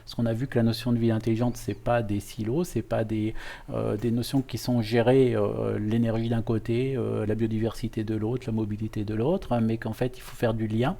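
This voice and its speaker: A man's voice: on the low side, flat delivery, a little fast, creaky, dark tone. A man hosting an educational interview in a conversational way, searching for his phrasing as he goes with frequent restarts. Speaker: host, university professor interviewing a guest.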